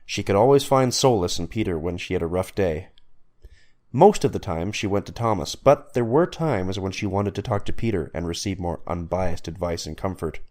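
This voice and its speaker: Man, low voice, scripted storytelling narration, narrator. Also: expressive, moderate pace, a little mellow.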